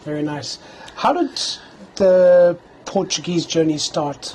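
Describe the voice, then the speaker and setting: A man's voice: fairly high pitch, slow pace, a little mellow, rough recording. A male podcast host asks questions in a friendly, loose manner and trips over his words now and then. He is interviewing guests out on a balcony, with faint ambient noise behind him. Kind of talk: casual interview chat. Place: seaside apartment balcony.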